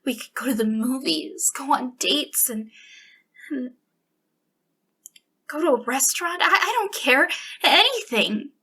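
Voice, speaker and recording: A woman playing an obsessive, sweetly menacing female captor in an ASMR roleplay, speaking intimately in a breathy voice that slips into whispers. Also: high-pitched, lively intonation, pushed and bright, full character voice. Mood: giddy, possessive. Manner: breathy delivery slipping into whispers, lingering pauses.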